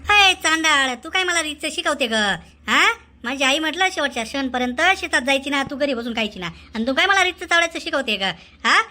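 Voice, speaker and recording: A woman's voice: high-pitched, lively intonation, fairly quick, bright, forceful tone. A woman performing cartoon comedy dialogue in a scolding, exclamatory, theatrical way. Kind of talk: animated comedy dialogue in colloquial Marathi.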